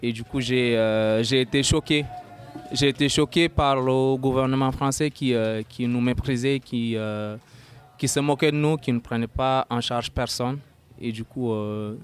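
A young man in his twenties from Sudan speaks in a smooth, resonant voice at a mid pitch. He talks haltingly, pausing to search for words, and presses harder when something angers him. His tone is earnest and personal, the way a guest speaks in an interview. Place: at a housewarming gathering.

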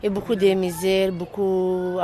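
A woman's voice: medium pitch, flat delivery, unhurried, crowd noise. Romanian-accented woman, broken searching speech. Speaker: interviewed shanty town resident. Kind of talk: informal street interview.

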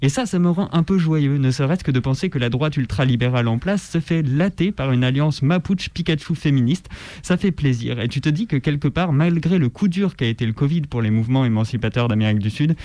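A man's voice: medium pitch, a little fast, mellow, no room sound. A man with a French accent giving casual commentary as co-host of a radio show. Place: radio studio.

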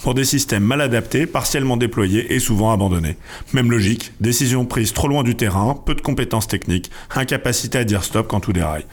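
A man's voice: medium pitch, brisk. A man reading a scripted radio column in terse, clipped phrases. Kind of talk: scripted commentary.

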